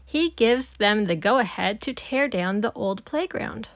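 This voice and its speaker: Female storybook reader, slow and high, animated read-aloud for little kids, dry sound. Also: no echo. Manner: animated, emphatic on sound-effect words. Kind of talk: expressive read-aloud narration for young children.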